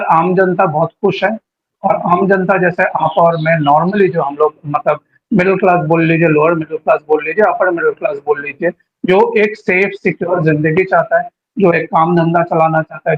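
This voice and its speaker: A man's voice: fairly high pitch, breathy edge, lo-fi sound. A man speaking as an interview guest on politics, talkative and informal, mixing English words into his speech. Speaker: guest giving local political account.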